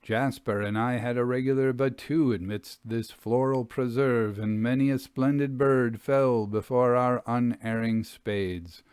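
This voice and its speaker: A man reading a literary story as an audiobook narrator, in a measured and deliberate way. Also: medium pitch, fairly even intonation, a little mellow, clean tone, no room sound, studio quality.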